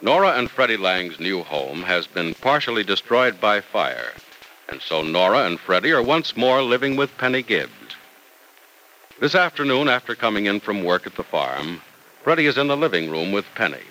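A man's voice: fairly low pitch, very animated, moderate pace, pushed and bright, a little hiss or hum, low-quality recording. A male announcer with an American accent delivering scripted 1950s radio copy in an emphatic, polished manner.

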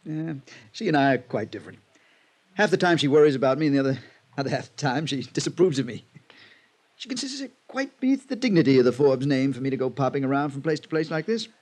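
An actor playing a carefree, flippant rich playboy in a classic radio drama, breezy, genteel and amused, with a mannered delivery. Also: male voice, mid-range voice, expressive, quick pace, a little mellow, lightly acted. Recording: old radio broadcast recording.

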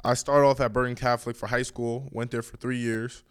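A man's voice: medium pitch, level tone, brisk, clear, resonant tone. A young man from New York and New Jersey chatting casually and emphatically as a college basketball player being interviewed on a podcast. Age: young adult.

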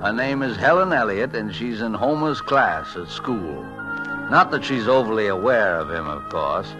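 A man playing a gentle, folksy narrator in a 1940s radio drama, wry and conversational with unhurried asides. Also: fairly low pitch, animated, natural voice, low-quality recording. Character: gentle, folksy male storyteller watching over a boy. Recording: music under old radio narration.

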